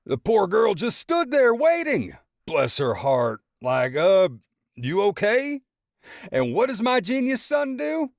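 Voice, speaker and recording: A man doing a loud, boastful father who roars with glee while roasting his son, his voice shooting high and swinging wildly. The recording is dry and close, with no room sound. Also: huge pitch swings, slow pace, dry recording, heavily acted. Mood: gleeful mocking. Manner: loud, mocking, laughing storyteller. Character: boastful teasing middle-aged father, loud.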